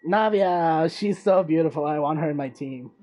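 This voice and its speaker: A woman's voice: deep voice, normal speed, warm tone, soft background music. A woman giving casual gameplay commentary as a gaming streamer.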